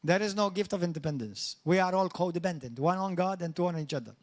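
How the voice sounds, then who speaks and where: A man's voice: high-pitched, quick pace. A man with a Maltese accent, a native Maltese speaker, preaching a sermon and repeating himself in English and Maltese. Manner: earnest, restarts mid-phrase, rises to an emphatic welcome. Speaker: pastor.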